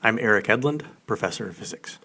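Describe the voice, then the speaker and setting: A man's voice: lowish voice, expressive, fast, vocal fry, sharp tone, breathy edge. A man co-hosting a podcast, a physics professor who asks friendly, curious questions. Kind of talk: casual podcast chat.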